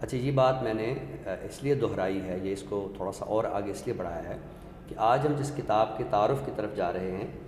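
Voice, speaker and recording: A man's voice: fairly low pitch, a little mellow. Man explaining literature in an echoey hall. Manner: deliberate, didactic, repeats points for clarity. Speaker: literary expert guest on a discussion show. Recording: echoey hall-like room with noise.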